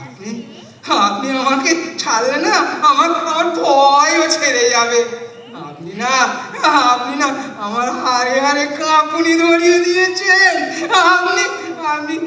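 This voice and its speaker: A very high-voiced, sickly male passenger who panics easily. He stammers and repeats himself in a slow, whimpering theatrical drama delivery, heard from a distance in an echoey hall. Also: lively intonation, sharp tone, full character voice. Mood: panicked. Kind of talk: theatrical Bengali audio drama performance.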